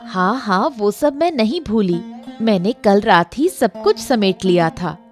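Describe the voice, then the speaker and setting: A woman voicing a practical village wife and homemaker in an animated moral story. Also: mid-range voice, a little slow, faint music behind, lightly acted. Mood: impatient.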